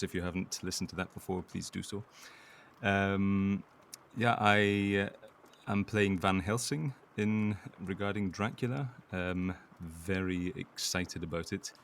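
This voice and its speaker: Low voiced actor guy chatting casually in an interview, slight hiss of noise. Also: creaky.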